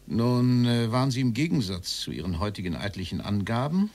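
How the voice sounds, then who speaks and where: Interrogator, radio drama, stern, formal, insistent, mid-pitched voice. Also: male voice, sing-song, brisk, a little mellow. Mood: insistent, accusing. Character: stern male interrogator questioning a Nazi-era official. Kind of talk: documentary radio drama dialogue.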